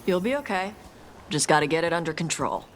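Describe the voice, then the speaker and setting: Game role, acted by a woman: fire-breathing horned fighter, low register, matter-of-fact bluntness, clearly noisy backdrop. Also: lively intonation, brisk, lightly acted. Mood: blunt, teasing. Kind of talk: video game voice acting.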